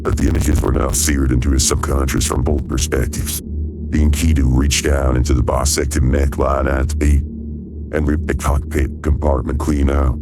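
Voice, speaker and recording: Male narrator, very deep bass voice, scripted radio play storytelling, loud music bed.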